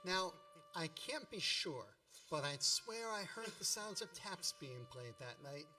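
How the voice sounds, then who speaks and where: Wry nostalgic narrator, mock-grand, forceful bright tone, slightly high, radio play. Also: male voice, animated, unhurried, recorded from a distance, soft background music, exaggerated voice, rough recording. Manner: wry, melodramatic. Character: wry grown man narrating his boyhood memories with mock grandeur.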